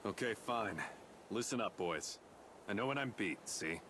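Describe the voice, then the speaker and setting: A man's voice: lowish voice, expressive, a little slow, noisy background. A man voicing a shady, pragmatic guild boss in a video game, with a weary, streetwise drawl. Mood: resigned.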